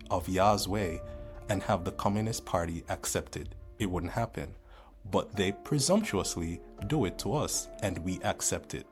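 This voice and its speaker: A man from Jamaica giving earnest, admonishing religious commentary in the manner of a scripted teaching. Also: sits low. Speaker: religious teacher and video host.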